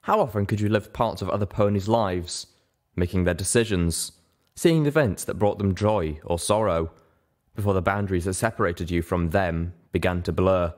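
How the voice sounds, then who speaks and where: Low-voiced male story narrator. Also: normal speed. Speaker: audiobook narrator. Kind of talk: scripted fan fiction narration.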